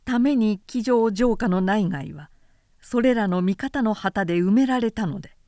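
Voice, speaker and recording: Female literary narrator: measured, articulate, warm dark tone, very dry close sound. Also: medium pitch, no room sound. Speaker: professional narrator. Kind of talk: scripted literary audiobook narration.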